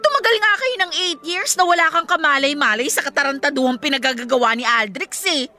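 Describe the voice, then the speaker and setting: A woman's voice: high-pitched, brisk, pushed and bright. A woman with a Filipino accent playing a blunt, exasperated best friend in a radio drama, scolding and emphatic. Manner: scolding, emphatic, straight-talking. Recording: music playing behind the dialogue.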